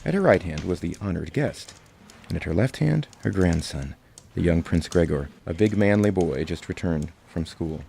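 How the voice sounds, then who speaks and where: A man narrating a classic tale in a dramatic storybook style, with a gravelly voice. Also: low voice, lively intonation, moderate pace, warm tone, a little hiss or hum. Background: music.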